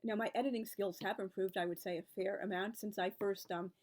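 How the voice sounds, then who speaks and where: A chatty woman in her forties, speaking at a middle pitch that is neither high nor low for a woman. She wanders from point to point in a loose vlog-style monologue and keeps adding asides. A wry, weary edge comes into her voice when she talks about online advice. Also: fairly even intonation, a little fast, a little mellow. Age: 40s. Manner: chatty and rambling, piling up asides. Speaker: book and author video creator. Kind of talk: casual reflective vlog talk.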